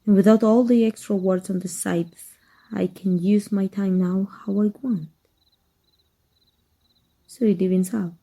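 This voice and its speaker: A woman playing an exiled evil magic teacher in an ASMR roleplay, wry and fond, speaking softly and intimately with a husky, breathy voice. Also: mid-range voice, fairly even intonation. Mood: warm, casual. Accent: Mexican. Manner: wry, unhurried, intimate, addressing a former student.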